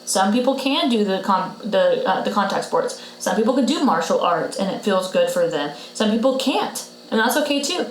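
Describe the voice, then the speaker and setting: A woman's voice: mid-range voice, animated, normal speed, few pauses. A woman co-hosting a podcast, giving blunt health advice in a casual, emphatic way and repeating her key points. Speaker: podcast co-host, patient. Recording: roomy echo with faint noise.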